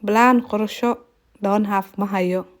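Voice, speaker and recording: A woman's voice: mid-range voice, fairly even intonation, takes it slowly. A native Somali-speaking woman teaching a language lesson, translating phrases and explaining individual words.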